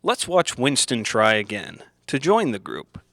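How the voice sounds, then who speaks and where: A man reading scripted instructional narration in the manner of an old educational film, deliberate and didactic, in simple phrases. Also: medium pitch, sing-song, a bit bright, no echo, studio quality.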